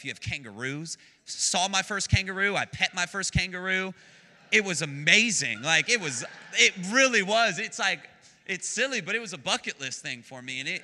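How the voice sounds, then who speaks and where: Bright-voiced young American preacher, church echo. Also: male voice, on the high side. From United States. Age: 20s. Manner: enthusiastic, chatty, jokes with the congregation. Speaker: guest preacher. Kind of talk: sermon. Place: church sanctuary. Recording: long church-hall reverb.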